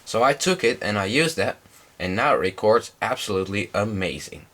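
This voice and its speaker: A man's voice: fairly low pitch, sing-song, a little fast, fairly bright tone, slight background noise. A young man with a slight cold gives a casual, informal demonstration, explaining things in a hesitant way. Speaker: hobbyist presenter.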